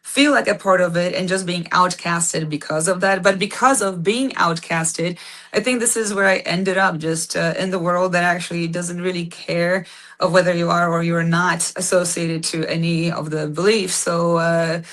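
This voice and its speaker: Woman with a low voice. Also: fairly even intonation, normal speed, a bit bright. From Poland. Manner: frequent "uh" and "um", searching for words. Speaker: band singer, guest. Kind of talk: casual interview chat.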